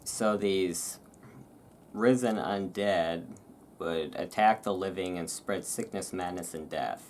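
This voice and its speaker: A person reading aloud in a relaxed way with casual, rambling asides, halting and self-deprecating about it. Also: low-pitched, slight background noise. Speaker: reader and host. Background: thunderstorm ambience.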